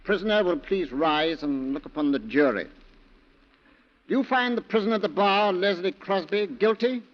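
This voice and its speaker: A formal court officer in 1940s radio drama. He declaims solemnly in a high voice to a jury. Long reverb surrounds him on a muffled recording. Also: animated, normal speed.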